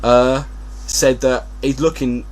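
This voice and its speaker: A man's voice: mid-range voice, slow pace. A man hosting a casual football podcast, talking in a hesitant, jokey way as he thinks aloud. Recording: hum and steady background noise.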